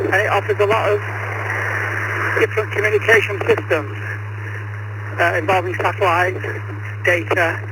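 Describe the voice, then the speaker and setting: A man talking casually as an amateur radio operator, musing aloud in a tentative way. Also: high voice. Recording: noisy radio transmission with hum. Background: clear radio noise and hum.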